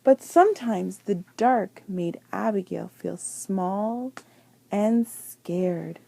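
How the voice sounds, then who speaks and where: Woman, lively swooping intonation, unhurried pace, children's read-aloud, dramatic emphasis. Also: fairly high pitch, gravelly, no echo. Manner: deliberate, dramatic phrasing with emphasised words. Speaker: teacher reading a picture book aloud.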